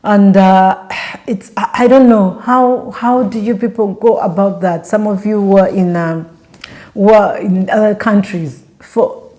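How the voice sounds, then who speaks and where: A woman's voice: medium pitch, dark tone. A young Ugandan woman with a Ugandan accent, vlogging in casual chat to camera and rambling through restarts and fillers while she asks her viewers direct questions.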